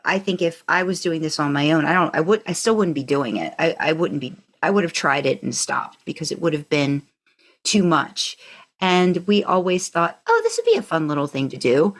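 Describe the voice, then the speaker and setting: Lower-voiced woman chatting on a podcast. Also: very animated, moderate pace. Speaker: podcast co-host. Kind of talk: casual conversational storytelling.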